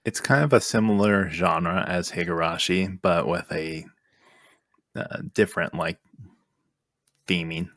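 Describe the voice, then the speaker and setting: A man with a deep voice and plenty of vocal fry talks casually as a podcast co-host. He explains things conversationally, in a dry, close recording. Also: measured pace, long pauses, fairly bright tone, no room sound.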